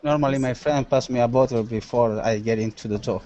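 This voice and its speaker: A man's voice: medium pitch, brisk, dark tone, dry recording. A man from Chile with a Chilean Spanish accent, casually answering as an English learner. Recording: dull lo-fi video call.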